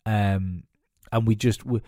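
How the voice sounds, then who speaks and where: Podcast host, male, stuffy with a cold, rambling casual chat in a deep mellow voice. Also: fairly even intonation, slow pace, no echo, clean studio sound. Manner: rambling, many fillers and restarts. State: has a cold, nasal and sniffly. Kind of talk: casual solo commentary.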